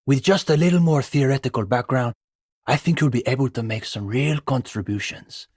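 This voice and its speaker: An actor playing an earnest young communist student intellectual in a video game, speaking in an articulate, pedantic way. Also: male voice, mid-range voice, normal speed, a little mellow, husky, no room sound, studio quality, natural voice. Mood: earnest, assured. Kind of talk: video game dialogue acting.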